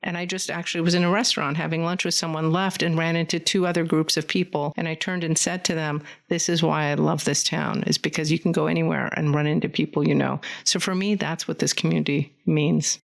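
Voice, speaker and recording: Woman with vocal fry, studio interview guest, fluent and earnest. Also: low-pitched, level tone, brisk, hardly any pauses. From Central Valley, California. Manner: fluent, earnest, rambling storyteller. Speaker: podcast guest, community foundation leader. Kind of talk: conversational interview. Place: podcast studio.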